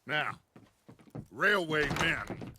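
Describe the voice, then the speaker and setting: An actor playing a commanding, fatherly middle-aged gang leader in a game cutscene, theatrical and forceful. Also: a little high, very animated, very slow, slight background noise, heavily acted. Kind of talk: dramatic video game cutscene acting.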